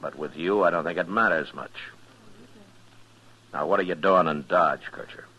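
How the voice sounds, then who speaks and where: An American actor playing a stern, steady frontier lawman in an old radio Western, husky and terse with a dry, unflinching delivery. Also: low-pitched, moderate pace, full character voice, low-quality recording. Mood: dry, challenging. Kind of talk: 1950s Western radio drama acting.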